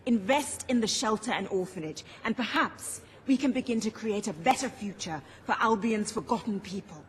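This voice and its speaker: An actress voicing an earnest, principled champion of the poor in a video game, formal, impassioned and pleading. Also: female voice, mid-range voice, normal speed, natural voice. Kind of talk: scripted video game dialogue.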